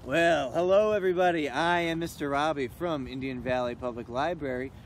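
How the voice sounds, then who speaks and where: A man's voice: a little high, brisk, slight background noise. A man playfully talking straight to children as an entertainer introducing a sing-along, recorded outdoors. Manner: playful, addressing children directly. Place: outdoors in the woods.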